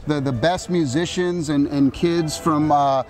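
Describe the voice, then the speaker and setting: A middle-aged man giving an energetic, jokey interview at a rehearsal, acting out quotes along the way. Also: fairly high pitch, unhurried, soft background music. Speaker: show creator. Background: orchestra rehearsal.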